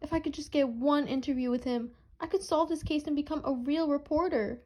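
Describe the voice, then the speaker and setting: A woman's voice: sits high, brisk, a little mellow, natural voice. A woman playing an eager, determined young reporter in an amateur superhero radio play. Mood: eager, frustrated.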